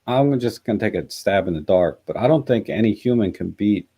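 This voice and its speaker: A man hosting a casual live chat, musing and halting, with a hoarse, scratchy voice. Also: fairly low pitch, lively intonation, warm tone. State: hoarse after a night out. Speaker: livestream host. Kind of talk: casual live chat, reading out and answering comments.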